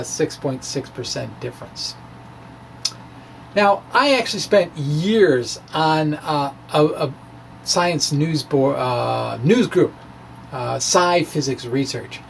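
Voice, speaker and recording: A man's voice: sits high, vocal fry, a little hiss or hum. A man telling his own science story from a blog in a conversational, hesitant way, with wry, self-deprecating humor. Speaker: amateur physicist and blogger. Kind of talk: dramatic reading of a personal science blog.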